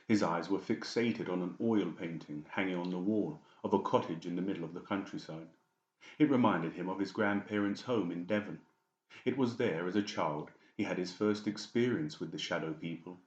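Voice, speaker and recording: Very deep male narrator. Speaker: audiobook narrator. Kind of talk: scripted fiction narration. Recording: dull, echoey room recording.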